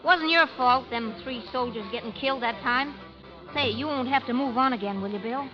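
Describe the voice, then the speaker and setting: A woman's voice: high voice, normal speed, natural voice. An actress playing a brash, loyal frontier saloon woman with folksy, blunt talk in old radio drama style. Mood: tender, hopeful. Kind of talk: 1940s radio acting. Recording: muffled old radio broadcast with music.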